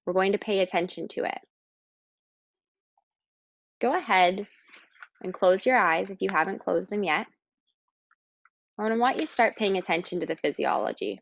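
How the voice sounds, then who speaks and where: A woman guides a meditation in a calm, instructing manner, leaving pauses between her phrases. Her voice sits at a medium pitch. The sound is very dry and close, with a slightly dull, lo-fi quality. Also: no echo.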